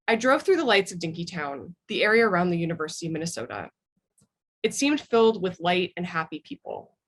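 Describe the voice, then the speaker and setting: A woman reads a personal memoir aloud as its author. The voice sits a little low for a woman, and the delivery is very animated, with pitch moving widely through the narration. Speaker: memoirist guest reading from their book. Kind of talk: expressive reading of a personal memoir.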